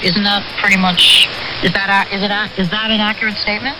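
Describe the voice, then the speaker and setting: A woman's voice: medium pitch, level tone, fast talker. A woman working as a news radio host, interviewing and reading news over shortwave. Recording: noisy shortwave radio reception.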